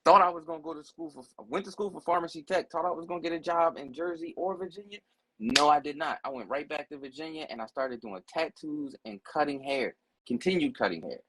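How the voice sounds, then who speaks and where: Casting: man in his 40s, husky voice, blunt and profane, casual storytelling. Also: on the high side, brisk, fairly bright tone, dry recording, rough recording. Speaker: guest telling his life story. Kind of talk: casual conversation.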